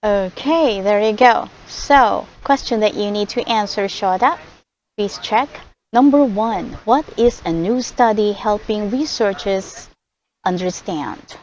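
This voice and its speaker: A woman's voice: mid-range voice, slow pace, a little mellow. A Filipino woman with a Filipino accent whose first language is Tagalog, tutoring English online in an upbeat, encouraging way. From Philippines. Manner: upbeat, encouraging, laughs often. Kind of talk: one-on-one online lesson. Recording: slightly muffled call audio with faint hiss.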